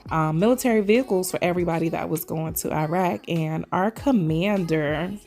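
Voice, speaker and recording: A woman's voice: lowish voice, a little fast, a little mellow. A woman from Trinidad doing a casual, chatty voice-over for her nail video, calling viewers "y'all" all the time, her voice still a little scratchy from a sore throat. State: recovering voice, slight throat tickle. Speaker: nail vlogger.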